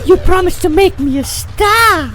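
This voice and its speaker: A woman's voice: extremely high pitch, lively intonation, measured pace, a bit bright, heavily acted. A woman playing a naive, distressed young beauty queen in a motion comic, pleading melodramatically. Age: young adult.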